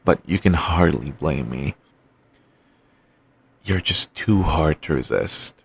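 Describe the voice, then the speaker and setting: Man voicing an obsessive yandere boyfriend, soft rambling murmur, deep voice, breathy rasp, roleplay. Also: animated, slow, heavily acted. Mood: doting, soft. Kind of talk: ASMR audio roleplay.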